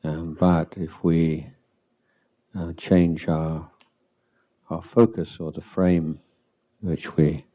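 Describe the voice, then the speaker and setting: A man with a very low, even voice leads a guided meditation as a teacher. He speaks calmly in short phrases, leaving long pauses between them. The recording is a little dull. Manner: calm, unhurried, halting with long pauses. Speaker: Buddhist monk, meditation teacher.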